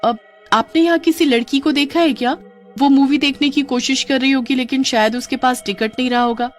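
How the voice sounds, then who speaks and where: A woman's voice: high-pitched, steady tone, fairly quick, voice pushed up, lightly acted. A woman voicing a resourceful, caring young girl in a cartoon, speaking with urgent exclamations. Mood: concerned. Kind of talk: Hindi cartoon voice acting. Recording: music under the voice.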